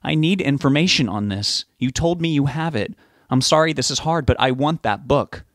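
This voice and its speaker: A man's voice: mid-range voice, lively intonation, normal speed, no room sound, pitched above the performer's own voice, natural voice. An earnest young man in an audiobook drama, acted by a male narrator. He sounds desperate and resolute, but stays polite. The recording is dry. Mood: polite, subdued. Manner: pleading, resolute.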